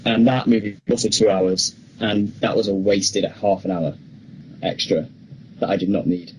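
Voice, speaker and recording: Low-voiced young man chatting about films. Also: fairly even intonation, moderate pace, darkish tone, slight background noise, poor audio. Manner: chatty, opinionated. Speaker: podcast co-host. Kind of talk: casual film discussion.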